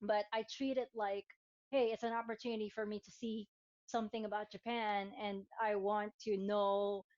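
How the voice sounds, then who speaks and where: A woman's voice: mid-range voice, level tone, normal speed, darkish tone, dry recording, dull audio. A woman in her thirties from the Philippines, chatting casually as co-host, talking hesitantly with many fillers. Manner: hesitant, lots of fillers and restarts, laughs often. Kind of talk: casual chat.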